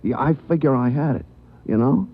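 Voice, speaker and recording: A man's voice: medium pitch, a little fast, narrow, tinny audio, close to an everyday voice. An actor playing a wounded, streetwise male taxi driver in a 1940s radio drama. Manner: streetwise, chatty, says "you know". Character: tough talking male cab driver, street-wise. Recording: old radio broadcast, band-limited, hiss.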